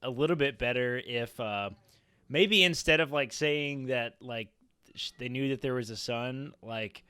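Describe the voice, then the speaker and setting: An American man chatting on a podcast roundtable, jokey and halting, slipping into acted-out quotes. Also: mid-range voice, bright, forceful tone, clean tone, no room sound. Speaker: podcast panelist, medical resident. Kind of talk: casual roundtable chat. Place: home studio, remote video call. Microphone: close studio mic on boom arm.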